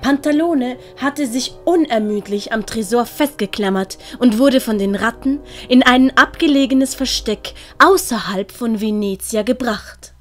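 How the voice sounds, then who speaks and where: A woman's voice: a little high, a little slow, faint music behind. A woman reading scripted audiobook narration as the storyteller.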